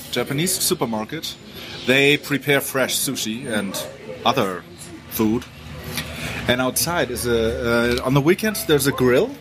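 A man's voice: mid-range voice, noisy background. A man speaking English with a German accent, chatting casually on a podcast in a thoughtful, hesitant, self-deprecating way. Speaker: podcast co-host. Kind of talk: casual chat. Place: Japanese supermarket eatery. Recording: chatter of a crowd behind.

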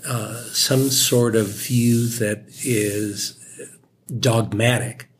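Measured, opinionated older man. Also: medium pitch, a little hiss or hum. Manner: measured, opinionated, with hesitant restarts. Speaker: guest, podcast hosts' father. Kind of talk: casual family conversation.

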